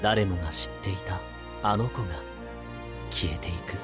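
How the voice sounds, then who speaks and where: A man giving scripted fairy-tale narration in a measured, solemn and polite manner. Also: sits low. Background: music.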